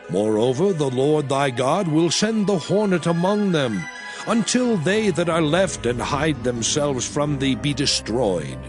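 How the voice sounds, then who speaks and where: A man playing a solemn, commanding Hebrew prophet, preaching God's law in a grave, declamatory dramatized scripture reading. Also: a little high. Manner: grave, declamatory, deliberate phrasing.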